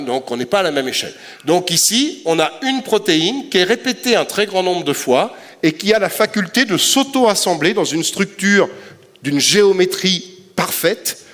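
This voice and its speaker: A man's voice: on the high side, fairly bright tone. French man lecturing in a reverberant hall. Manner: explanatory, uses everyday metaphors, frequent "hein" and "euh". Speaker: scientist giving a lecture. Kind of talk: public science lecture with slides.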